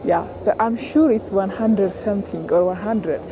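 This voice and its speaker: Young Ethiopian woman, travel vlogger, mid-pitched voice, informal chat, very noisy travel ambience. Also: moderate pace. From Addis Ababa, Ethiopia. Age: young adult. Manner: informal, addresses viewers as guys. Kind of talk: casual chat to camera. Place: busy airport, tarmac and plane cabin. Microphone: clip-on mic, selfie camera at arm's length. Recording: noisy airport and plane cabin ambience.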